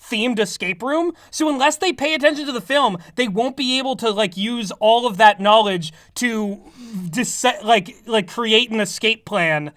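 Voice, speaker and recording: A podcast co-host with a bright, forward voice at a middling pitch. The talk is casual, playful riffing with mock-dramatic bits, recorded very dry. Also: normal speed, few pauses, no room sound. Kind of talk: casual comedic banter.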